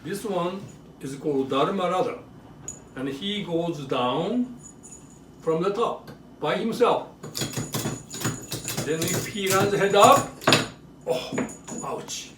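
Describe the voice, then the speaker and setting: A man with a Japanese accent giving an educational demonstration in short, simple phrases, often checking in with a quick question. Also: high voice, animated. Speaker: author and presenter. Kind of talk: educational show-and-tell for children and families. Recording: roomy echo, distant mic, faint noise.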